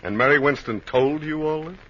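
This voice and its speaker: An actor playing a skeptical, probing detective in a 1950s radio drama. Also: male voice, a little high, brisk, fairly bright tone. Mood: skeptical, dry. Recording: muffled, faintly noisy old radio recording.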